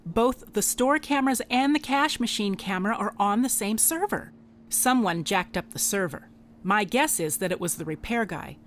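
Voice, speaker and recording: A woman's voice: mid-range voice, expressive, moderate pace, a little hiss or hum, natural voice. A woman playing a plain-spoken, wry private investigator in a radio drama, informal in manner. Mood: businesslike. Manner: sardonic, informal. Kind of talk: contemporary radio drama acting.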